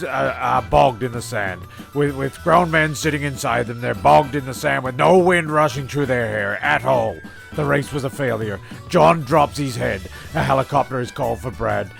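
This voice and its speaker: A man's voice: medium pitch, creaky, fairly bright tone. A man narrating a comedy radio play in a hesitant, rambling way, often repeating himself.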